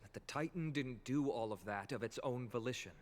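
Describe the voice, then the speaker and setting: A man voicing a calm, earnest young hero. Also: mid-range voice, brisk, natural voice. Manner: calm, reassuring. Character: earnest young male hero, kind and steady.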